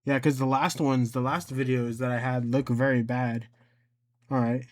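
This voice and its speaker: A man with an average-pitched voice walks viewers through a software tutorial. His delivery is casual and unpolished, and he often talks to himself, thinking aloud while he explains each step. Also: steady tone, moderate pace. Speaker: tutorial creator and presenter.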